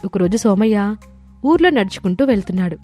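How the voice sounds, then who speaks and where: A woman's voice: mid-range voice, lively intonation, a little fast, mellow. Woman narrating a moral story over music. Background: background music.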